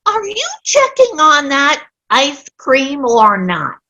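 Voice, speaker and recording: Giddy elderly comic lady, played by a woman: very slow, wildly swinging delivery, muffled video-call sound. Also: high voice, huge pitch swings, bright, forceful tone, full character voice. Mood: craving, blissful. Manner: giggly, whiny when wanting sweets. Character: giddy old woman, Jean, newly spry, craving treats. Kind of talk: virtual play reading, comedy.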